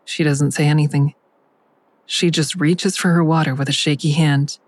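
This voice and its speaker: A woman's voice: sits low, steady tone, normal speed, no room sound. A woman playing a guarded, bitter adult daughter in an audio drama, terse and confrontational. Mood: guarded, reflective. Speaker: lead actor narrating and playing a daughter. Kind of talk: audio drama acting with first-person narration.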